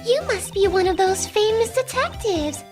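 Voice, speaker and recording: A woman's voice: extremely high pitch, lively intonation, soft background music. A woman voicing a catty, mocking young woman in a video game fan dub, sugary and sarcastic. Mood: mocking, coy. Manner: sarcastic, cutesy, drawn-out teasing.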